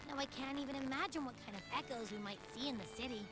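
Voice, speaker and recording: High, playful girlish companion played by a woman. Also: fairly quick, lightly acted. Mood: curious, teasing. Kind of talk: scripted video game dialogue. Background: loud music.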